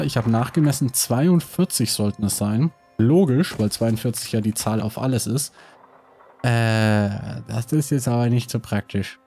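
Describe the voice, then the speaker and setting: A man's voice: mid-range voice, warm tone, slightly rough. A man with a cold, casually commenting on his building game while thinking aloud with many hesitations. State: has a cold. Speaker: let's play host.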